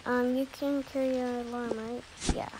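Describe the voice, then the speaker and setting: A woman's voice: fairly high pitch, level tone, normal speed, darkish tone, a little hiss or hum, close to an everyday voice, poor audio. A woman voicing a busy mother in improvised pretend play with game characters, hesitant and murmuring to herself. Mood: busy, distracted. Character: busy mother packing up for a family move.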